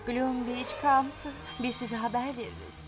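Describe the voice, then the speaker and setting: A woman's voice: a little high, fairly even intonation, measured pace, lightly acted. A woman voicing a self-important teenage mummy cheer captain in a dubbed cartoon, imperious and dramatic. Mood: anxious. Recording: music behind the voice.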